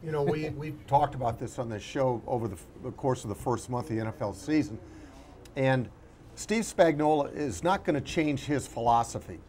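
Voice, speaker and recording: A man co-hosting a casual sports talk show, analysing in a halting way, searching for words and repeating himself. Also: mid-range voice, animated, darkish tone, a little hiss or hum.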